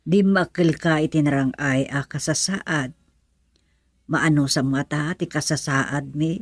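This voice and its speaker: A woman's voice: low-pitched, steady tone, measured pace, no room sound. Worried woman acted in a dry radio drama. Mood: troubled. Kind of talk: Ilocano radio drama acting.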